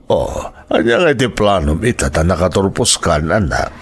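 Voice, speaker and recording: An actor playing a proud, supportive middle-aged Ilocano father in a radio drama, with a gravelly, husky voice. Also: low voice, animated, normal speed. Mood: proud, approving.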